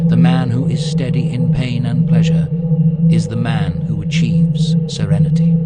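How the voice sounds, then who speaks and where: A man giving a solemn, measured and reflective dramatic reading of literary prose as a narrator. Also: fairly low pitch. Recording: voice-over with prominent music behind. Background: music.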